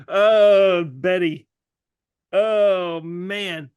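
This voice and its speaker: A man co-hosting a podcast in casual, joking chat, halting as he thinks his way through aloud. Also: high-pitched, darkish tone, smooth, dull audio. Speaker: podcast co-host.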